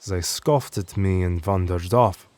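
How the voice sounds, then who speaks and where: A man's voice: sits low, measured pace, a little mellow. A man reading a scripted first-person horror story as narrator.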